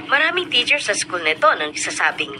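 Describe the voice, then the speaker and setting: A warm teacher full of praise for her student. Her tone is encouraging and motherly. Noise sits under the radio recording. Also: medium pitch, very animated, a little fast. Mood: proud. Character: warm proud female teacher and class advisor, middle-aged. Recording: noisy radio broadcast recording.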